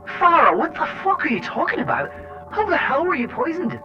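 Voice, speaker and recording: A man's voice: very high voice, big pitch swings, fairly quick. Muffled, wry male agent in radio drama, played by an actor. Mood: alarmed, angry. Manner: colloquial, wry, swearing. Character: sardonic, hot-tempered male agent recounting his ordeal. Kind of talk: first-person scripted drama narration. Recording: dull lo-fi cassette with music.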